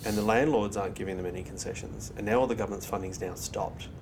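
An Australian man talks as a guest in a casual interview. He speaks quickly in a fairly low voice, chatty and free with his opinions. The recording has clear noise with a low rumble behind him. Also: steady tone.

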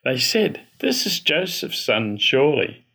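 A man reading a gospel passage aloud from a script, with a clear, formal storytelling delivery. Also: on the high side, big pitch swings, measured pace, sharp tone. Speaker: scripture reader.

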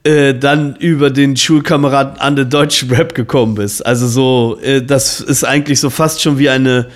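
A man's voice: mid-range voice, fairly even intonation, moderate pace. A man in his forties hosting an interview podcast, asking long, rambling questions full of fillers and restarts. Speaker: podcast host. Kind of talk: casual interview chat.

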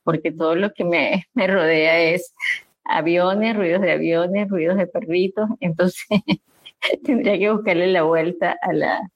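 A woman talks in a slow, soft, halting way, restarting her sentences as she looks for words. Her voice sits low for a woman. The sound is dry and close, with no room around it. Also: fairly even intonation, dry recording, muffled recording. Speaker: social audio room participant. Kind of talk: casual chat.

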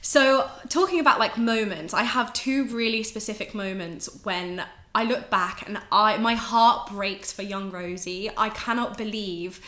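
A woman's voice: mid-range voice, a little slow, hardly any pauses, pushed and bright, echoey room. A woman in her twenties with an English accent, a podcast guest talking candidly and casually, swearing now and then. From England, raised in Ireland. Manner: chatty, candid, swears, laughs often.

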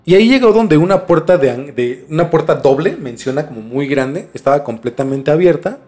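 A man's voice: on the high side, lively intonation, brisk, a little mellow. A man with a Mexican accent telling a story in a casual, slangy podcast chat as host. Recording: music bed behind the talk. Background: music.

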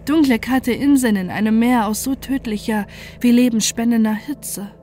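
A woman's voice: fairly high pitch, normal speed, mellow, slight background noise, close to an everyday voice. A woman playing a defiant, desperate scientist who narrates a horror story in an intense, dramatic way. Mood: grave narration.

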